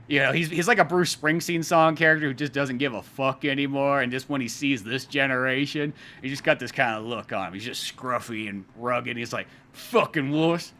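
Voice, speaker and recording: A young man co-hosting a podcast, casually reading through a comic with profane, animated storytelling and acted-out lines. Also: mid-range voice, a little fast, few pauses. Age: young adult. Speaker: podcast co-host and comic creator. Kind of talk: casual comic read-along commentary.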